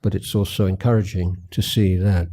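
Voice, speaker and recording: Male meditation guide. Low, even pitch, measured and gentle retreat talk, unhurried phrases with long pauses. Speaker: Buddhist meditation teacher.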